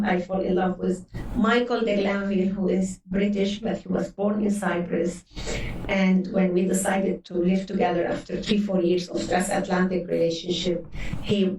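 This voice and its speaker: A woman's voice: mid-range voice, a little mellow, slight background noise. Woman in her 60s, distant roomy mic. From Lebanon. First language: Arabic. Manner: earnest, often repeats and restarts words. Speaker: guest psychotherapist. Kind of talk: interview conversation.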